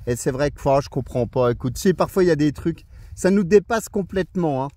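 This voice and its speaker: A man's voice: fairly high pitch, expressive, fast, very noisy. A man chatting casually and colloquially as an amateur radio operator, in an easygoing manner. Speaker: radio operator on a lunch break. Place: outdoors in the mountains.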